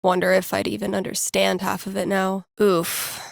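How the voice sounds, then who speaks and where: A woman's voice: medium pitch, monotone, normal speed, vocal fry, no echo, studio quality. A woman voicing a wry, geeky young woman in a video game, with a casual, sardonic and playful manner. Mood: wry, musing. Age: young adult. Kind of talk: naturalistic game voice acting.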